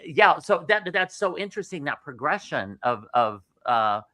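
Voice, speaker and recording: A male host keeps the chat moving with eager questions and quick affirmations. His voice is bright and forward, recorded close and dry. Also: fairly high pitch, big pitch swings, no echo. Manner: enthusiastic, lots of backchannel affirmations. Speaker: talk show host. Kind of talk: casual interview chat. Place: restaurant.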